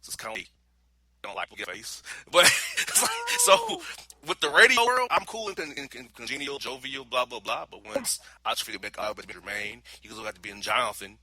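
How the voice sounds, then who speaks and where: Man, radio host, casual rambling banter, very fast and very bright delivery. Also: mid-range voice, huge pitch swings, low-quality recording. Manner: rapid, loose and rambling, with laughing asides.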